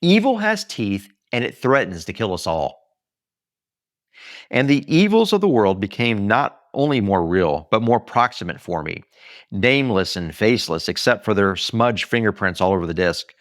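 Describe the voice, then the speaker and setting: An American man from Texas reading his own memoir aloud as scripted narration, with dramatic, emphatic delivery and deliberate pauses. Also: fairly low pitch, sing-song, no echo, studio quality. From Texas, United States. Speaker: author reading own book.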